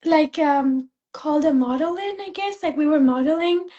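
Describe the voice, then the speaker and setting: A young woman from Spain, a fashion student, chatting in an interview, soft-spoken with many fillers and restarts. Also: high-pitched, moderate pace, dull audio. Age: young adult. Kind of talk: casual interview chat.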